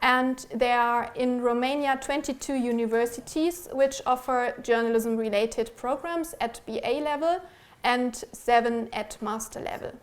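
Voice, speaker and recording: Higher-pitched woman giving an academic presentation. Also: fairly even intonation, measured pace. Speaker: academic researcher presenting project results. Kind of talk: prepared academic presentation with slides.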